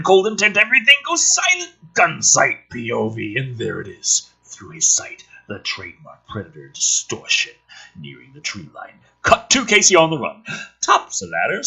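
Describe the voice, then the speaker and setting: A man gives a theatrical script reading with a bright, punchy, slightly rough voice. His pitch swings widely as he leans into the action. Between lines he slips into casual, sardonic commentary with some swearing. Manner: animated, emphatic, theatrical.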